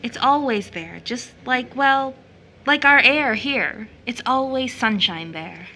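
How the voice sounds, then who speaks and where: A motherly woman as an actress plays her in an audio drama, tender and wistful. Her voice is rather high and very bright. She soothes and speaks affectionately, like a bedtime storyteller. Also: expressive, slow pace, heavily acted. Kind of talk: stage drama audio acting.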